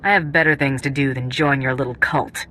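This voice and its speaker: A woman's voice: extremely low pitch, fairly even intonation, a little fast, bright, forceful tone, slight background noise. An actress playing a tough, ruthless female bounty hunter in a video game, blunt and commanding. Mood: demanding, impatient. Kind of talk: video game cutscene acting.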